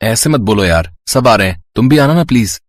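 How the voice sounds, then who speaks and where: Man acting a young student, earnest, boyish, husky voice, dry storybook recording. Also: mid-range voice, animated, fairly quick, no room sound, natural voice. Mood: friendly, coaxing. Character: friendly, earnest young male college student, rich builder's son.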